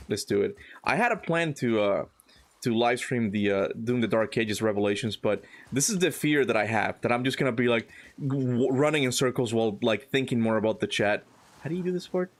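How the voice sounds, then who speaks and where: Chatty Cuban-born man streaming a game. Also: medium pitch. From Cuba. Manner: chatty, reads chat aloud, laughs often. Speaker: gaming streamer. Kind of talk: casual livestream chat while playing.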